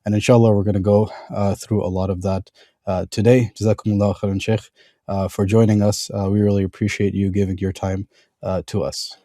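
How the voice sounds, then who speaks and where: A male podcast host introducing his guest in a conversational way, halting often with fillers and restarts. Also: lowish voice, level tone, dark tone, no echo, clean studio sound.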